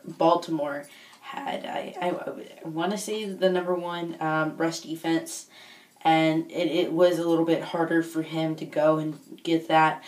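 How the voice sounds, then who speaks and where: A woman's voice: low-pitched, darkish tone. A woman chatting casually in a rambling, hesitant vlog, with a voice worn from being sick. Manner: rambling, hesitant, searching for words. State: recovering lost voice after being sick. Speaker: video blogger, football fan. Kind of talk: casual unscripted vlog chat. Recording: echoey room, distant microphone.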